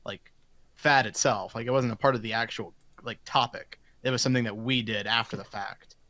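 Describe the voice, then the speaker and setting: A man's voice: mid-range voice, fairly even intonation, a little fast, sharp tone, dry recording. A man co-hosting a podcast in casual group chat, rambling along in a self-deprecating way. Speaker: podcast co-host.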